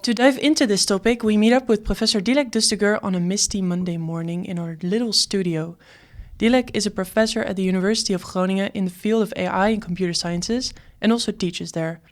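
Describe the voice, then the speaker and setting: A woman's voice: mid-range voice, quick pace. A woman in her twenties presenting a student podcast from a script. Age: 20s.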